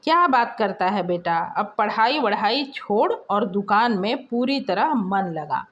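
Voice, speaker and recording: A woman's voice: medium pitch, expressive, measured pace, a bit bright, highs cut off. A woman voicing a middle-aged mother in a story, indulgent and matter-of-fact with her children. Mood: disapproving.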